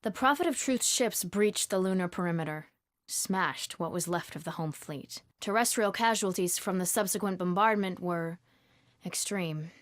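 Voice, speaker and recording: An actress playing a composed, serious naval commander in a game cutscene, briefing with crisp authority. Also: female voice, lowish voice, moderate pace, natural voice. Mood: grave briefing. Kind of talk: video game cutscene acting.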